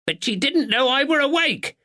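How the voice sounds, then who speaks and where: Man putting on a sharp frail old mother. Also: very high voice, expressive, moderate pace, pitched above the performer's own voice, exaggerated voice. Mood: sharp, insistent. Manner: blunt, relentless, dialect "were". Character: elderly sharp bedridden village mother.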